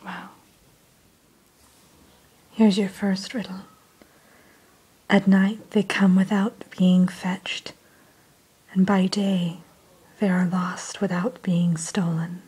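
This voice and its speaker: A woman in an ASMR roleplay who whispers gently and courteously as the keeper of a dragon hatchery. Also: mid-range voice, little pitch movement, slow, pauses often, breathy edge.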